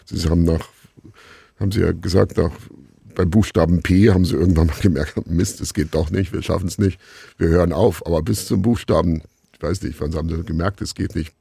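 A middle-aged man giving reflective answers as an interview guest, musing and haltingly searching for words. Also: low voice, steady tone, creaky, mellow, breathy edge, no room sound. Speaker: interview guest, radio play maker.

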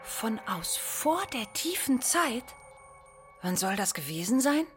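Casting: wide-eyed cautious schoolgirl, fairly high, questioning and playful, radio drama over faint music. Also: sing-song, slow. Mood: curious, dreamy. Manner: questioning, childlike.

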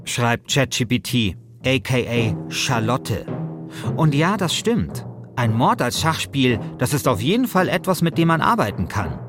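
Male podcast host, casual, super animated pitch, music clearly behind him. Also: unhurried. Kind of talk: casual podcast narration and chat.

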